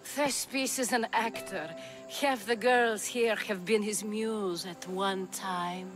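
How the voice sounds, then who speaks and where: A woman's voice: medium pitch, fairly even intonation, takes it slowly, lightly acted. A woman playing a sultry, worldly courtesan in a video game cutscene, teasing and knowing. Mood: seductive, teasing. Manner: languid, knowing. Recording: music behind the voice. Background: music.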